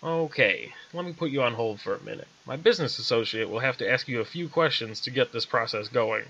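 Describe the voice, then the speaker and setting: A man's voice: mid-range voice, lively intonation, fairly quick, gravelly, pushed and bright, lo-fi sound, full character voice. A man voicing a wry, casual female witch who offers curse removal in a read-aloud story.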